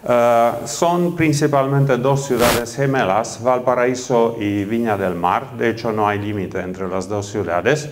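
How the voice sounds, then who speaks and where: A man's voice: medium pitch, measured pace, few pauses, background noise. A middle-aged man from Romania with a Romanian accent, giving a conference keynote talk with frequent hesitant fillers. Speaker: keynote speaker. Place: conference hall.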